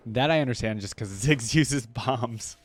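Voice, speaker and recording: A man hosting a reaction video, talking casually as an enthusiastic fan and thinking out loud. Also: mid-range voice, unhurried.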